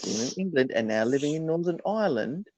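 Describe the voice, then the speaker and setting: A man's voice: a little high, expressive, fast talker, a little mellow, dry recording. A man hosting a casual group chat, pressing his point in wordy, repeated phrases when he is interrupted.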